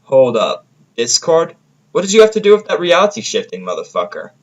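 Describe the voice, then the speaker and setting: A man's voice: a little high, wildly swooping pitch, a little fast, highs cut off, voice pushed up. A man playing a grumpy, stubborn male outsider in an audio drama, speaking bluntly and irritably. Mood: shocked.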